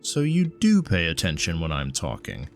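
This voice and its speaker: Hardboiled investigator, played by a male reader: very low pitch, gruff, terse, cynical and dry, audio drama with light music bed. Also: huge pitch swings, normal speed, heavily acted. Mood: dry, skeptical.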